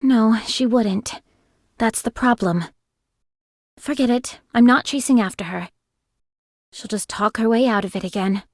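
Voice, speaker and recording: An actress playing a composed, weary young female squad leader in a video game, speaking in a measured, formal way. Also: a little high, a little mellow, dry recording, clean studio sound. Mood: exasperated. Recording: background music under voice.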